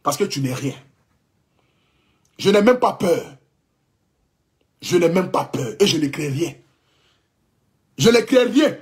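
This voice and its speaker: A man in his thirties with an Ivorian French accent, giving a fervent, repetitive, defiant livestream address as a social media blogger. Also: high voice. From Ivory Coast. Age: 30s. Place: indoors, clothing rack behind.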